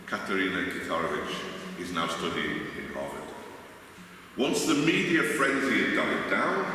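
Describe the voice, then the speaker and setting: A man with a Liverpool English accent giving an academic conference lecture, speaking deliberately and emphatically with wry asides. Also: lowish voice, sharp tone. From Liverpool, England. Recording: echoey hall, distant mic, faint noise.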